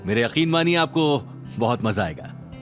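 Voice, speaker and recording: A man's voice: mid-range voice, lively intonation, normal speed, a bit bright, faint music behind, close to an everyday voice. A man voicing a proud, formal train captain in an audio drama, mixing in English phrases. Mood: courteous. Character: proud, indignant male train captain, stern but deferential.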